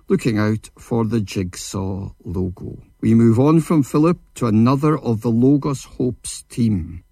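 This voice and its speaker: A man presenting a radio show, reading scripted links in a measured, announcing manner into a studio microphone. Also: on the low side, gravelly, warm tone, no room sound.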